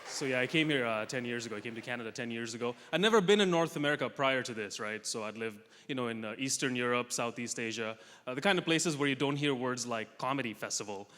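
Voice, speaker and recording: Young man, stand-up comic, from Russia, dry self-mocking delivery, bright forceful tone, long hall reverb. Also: mid-range voice. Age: young adult. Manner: dry, self-mocking punchlines with quick asides. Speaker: stand-up comedian. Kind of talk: stand-up comedy set. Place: large comedy festival hall with audience.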